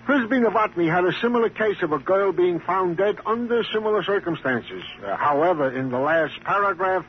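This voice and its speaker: Through a muffled, faintly noisy old radio recording comes a male police sidekick with a fairly high voice. He is eager and pleased with himself, drawing out his comic radio-drama chatter. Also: lively intonation, fairly quick. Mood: pleased, teasing. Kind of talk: 1950s radio crime drama acting.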